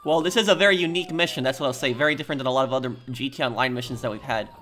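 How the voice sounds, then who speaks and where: A man's voice: medium pitch, racing, a bit bright. A man giving casual, musing gameplay commentary as he plays, speaking a little hesitantly. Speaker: gamer presenting a playthrough. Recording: commentary over noisy game audio. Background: game vehicle and aircraft sounds.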